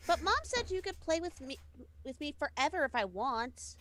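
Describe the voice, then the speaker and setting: Creaky, high-pitched clingy girl creature. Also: animated, normal speed, fairly bright tone. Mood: pleading. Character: clingy, petulant young girl creature with eerie authority.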